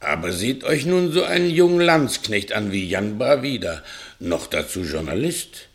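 An actor playing a fearful, conscience-ridden servant and reluctant villain, plaintive and deferential, in a 1960s radio play. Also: male voice, fairly low pitch, sing-song, moderate pace. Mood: pleading, tormented. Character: timid, conscience-ridden male servant, reluctant thief and killer.

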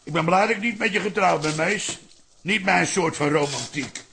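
Grumpy, bossy old schemer, gravelly yet sharp, radio comedy. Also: male voice, high voice, moderate pace, soft background music, heavily acted. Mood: scornful. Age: older. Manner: blunt, wheedling, calls people boy. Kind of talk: radio comedy drama acting.

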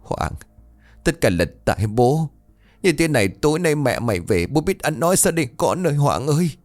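A man's voice: a little high, big pitch swings, moderate pace, no room sound, soft background music, pitched above the performer's own voice. A man voicing a grieving young father who wails and chokes through his tears. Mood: choked grief.

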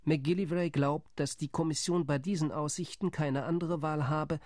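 A wary male delegate in a radio play, doubtful and deliberate, as an actor plays him. His voice sits fairly high for a man, with a grave, reporting tone. The studio sound is close and dry. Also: steady tone, a little mellow, no echo, natural voice. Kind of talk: radio drama monologue.

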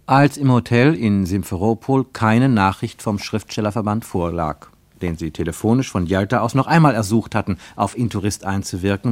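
A male actor serves as a sober storyteller in a radio drama. He reads literary prose in a low voice, recorded close and dry with hardly any room. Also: a little mellow, dry recording, lightly acted. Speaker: narrator. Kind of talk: scripted literary narration, German radio play.

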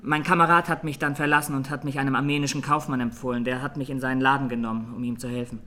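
An actor playing a young Armenian massacre survivor giving halting, sombre testimony in a radio drama. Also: male voice, medium pitch, flat delivery, bright, forceful tone, slight background noise, close to an everyday voice. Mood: sober recounting.

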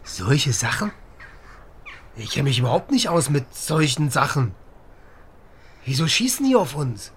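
Brash, animated military pilot. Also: male voice, mid-range voice, unhurried, long pauses, slight background noise. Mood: shaken, angry. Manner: sweary, agitated, sarcastic. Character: brash, cynical East German lieutenant, helicopter pilot. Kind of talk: naturalistic radio crime drama.

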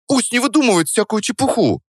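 A man voicing a lively young woman in an audiobook, teasing and easily huffy. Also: sits high, brisk, breathy edge, no room sound, studio quality, pitched above the performer's own voice, full character voice. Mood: mock outrage. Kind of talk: audiobook character voice.

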